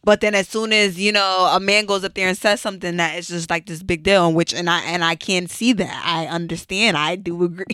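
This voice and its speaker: A woman's voice: lowish voice, steady tone, a little fast, few pauses, bright, forceful tone, clean tone, dry recording, studio quality. A woman in her late twenties from Connecticut, chatting casually and animatedly, swearing freely and laughing often. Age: 20s. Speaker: podcast guest. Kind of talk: casual chat.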